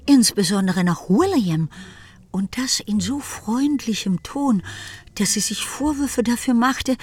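Expressive female narrator over music. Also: mid-range voice, breathy edge. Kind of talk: literary scripted narration in a radio play.

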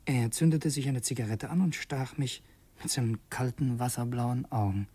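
An actor playing an earnest, brooding revolutionary who narrates in an intense, halting way in a radio play. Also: male voice, medium pitch, mellow, breathy edge, lightly acted. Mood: reflective. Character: earnest, brooding male revolutionary narrating his secret errand. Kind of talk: radio play, first-person dramatic narration.

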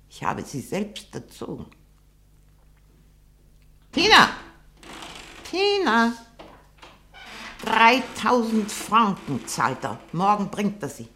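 Creaky, low-voiced imperious old spinster. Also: female voice, bright, forceful tone, full character voice. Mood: greedy, imperious. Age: older. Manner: slow, halting, haughty and blunt. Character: very old, shrewd, greedy, imperious spinster aunt. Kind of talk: 1960 German radio play acting.

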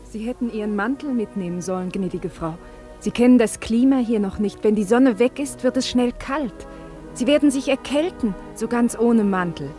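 Actress as deferential, anxious former governess, mellow voice. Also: female voice, fairly high pitch, normal speed, soft background music, natural voice. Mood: attentive, servile. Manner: deferential, formal, hesitant. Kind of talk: German radio drama acting.

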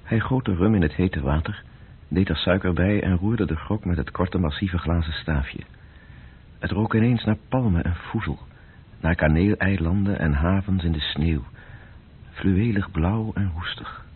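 A man's voice: low-pitched, normal speed, husky. A man narrating a radio drama in a literary, scripted style. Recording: muffled old radio recording with faint noise.

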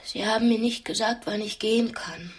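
A woman's voice: a little high, moderate pace, close to an everyday voice. An actress playing a defiant, demanding young captive woman in a radio drama, with a clipped, insistent way of talking.

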